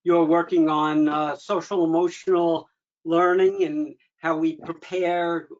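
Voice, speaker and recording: A man's voice: a little high, expressive, slow, vocal fry. Courteous male moderator on a muffled call. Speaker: meeting moderator. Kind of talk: moderating an online meeting.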